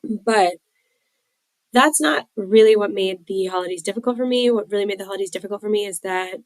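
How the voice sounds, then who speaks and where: Stuffed-up woman sharing on her podcast, gravelly voice, dry close sound. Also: medium pitch, brisk, dry recording. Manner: candid, rambling, many fillers. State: congested from a head cold. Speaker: podcast host. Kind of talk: personal recovery podcast share.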